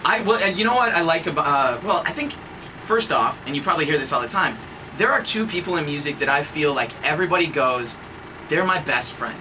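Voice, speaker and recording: A man's voice: a little high, expressive, far from the mic. A man hosting a radio interview in a studio, firing off eager questions with a scratchy throat. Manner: eager, rapid-fire questions. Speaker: radio host.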